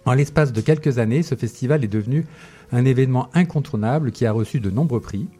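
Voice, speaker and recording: Chatty radio guy introducing a guest, warm voice, real excited about it. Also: medium pitch, fast, slightly rough, soft background music. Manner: enthusiastic, upbeat. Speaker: radio show columnist presenting a guest. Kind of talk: radio chat.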